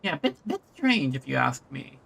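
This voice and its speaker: Bright-voiced young woman streaming a game. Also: low-pitched, big pitch swings, normal speed, gravelly, husky. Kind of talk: casual live commentary over gameplay. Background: game music.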